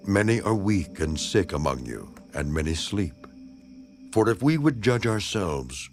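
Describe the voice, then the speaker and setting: A man giving a dramatized scripture reading in a solemn, declamatory, measured manner. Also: on the low side. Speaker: narrator of a dramatized Bible reading. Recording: voice over background music. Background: music.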